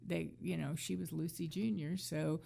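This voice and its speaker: A woman's voice: low-pitched, steady tone, normal speed, gravelly, mellow. A woman co-hosting a casual chat, hesitant and rambling in an agreeable way. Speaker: co-host.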